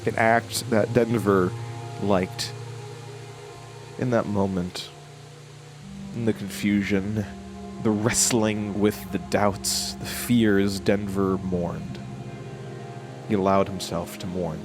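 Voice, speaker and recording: A male actor as a brooding narrator of a horror audio drama. His voice is very deep and he takes his time, halting and reflecting as he goes. Music plays clearly behind his grave, haunted telling. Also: level tone. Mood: somber, reflective. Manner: slow, halting, reflective delivery.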